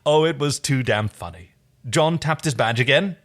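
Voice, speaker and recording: A man's voice: mid-range voice, animated, moderate pace. A man co-hosting a playful fan fiction reading, narrating aloud and prone to giggling. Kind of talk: animated story reading with character voices.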